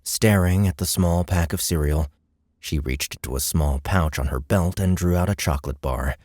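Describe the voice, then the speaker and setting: A man's voice: low voice, normal speed, darkish tone, no echo, studio quality. A man narrating a fiction audiobook from a script.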